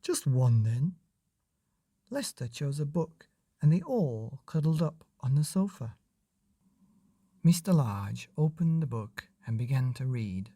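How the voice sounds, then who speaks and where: Slow, soft-toned male storybook narrator. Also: medium pitch, no room sound. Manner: unhurried, expressive reading for children. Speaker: bedtime story podcast narrator.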